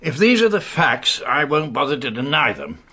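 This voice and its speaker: An actor playing an evasive, indignant British gentleman under questioning in a radio drama, sardonic and defensive in an articulate way. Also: medium pitch, big pitch swings, normal speed, husky, full character voice. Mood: scornful, defensive.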